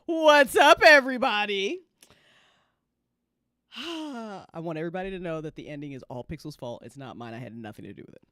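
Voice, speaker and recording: Bright, animated woman hosting a casual chat. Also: lowish voice, fairly quick, no echo, clean studio sound. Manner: excitable, playful, repeats herself. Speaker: host. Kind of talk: casual after-show chat.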